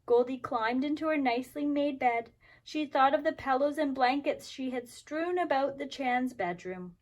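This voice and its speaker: High-voiced woman teaching young children. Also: moderate pace. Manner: expressive, simple instructive phrasing for young children. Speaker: teacher reading a story to kindergarteners. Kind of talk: storybook read-aloud and craft instructions for children.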